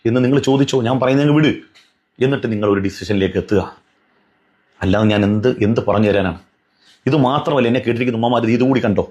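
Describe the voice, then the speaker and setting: A man's voice: mid-range voice, fast, long pauses, a little mellow. A man giving an admonishing religious commentary, earnest and pleading, driving points home with repetition and rhetorical questions.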